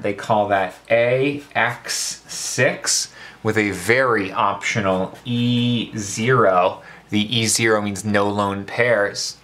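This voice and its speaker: A man's voice: on the low side, bright, forceful tone. A man tutoring chemistry in a casual, informal way, talking through a worked problem with playful cockiness.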